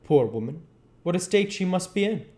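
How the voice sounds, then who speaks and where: A man voicing a grave, heartbroken gentleman, formal and pleading, from a classic novel. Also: high voice, animated, normal speed, voice pushed up. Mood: distressed, earnest. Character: grave, heartbroken gentleman friend, earnest and reproachful.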